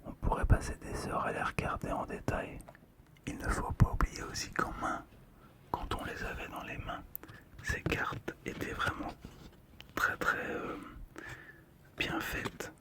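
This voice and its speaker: An ASMR creator whispering softly and unhurriedly, with pauses, close to a stereo microphone. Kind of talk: ASMR whispering, describing a collectible card.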